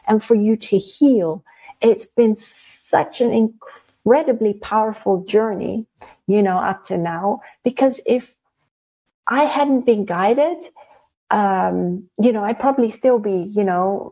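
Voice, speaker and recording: Mellow-voiced woman chatting openly. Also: mid-range voice, rough recording. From Netherlands. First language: Dutch. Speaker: interview guest, spiritual channeler. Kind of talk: casual conversational storytelling.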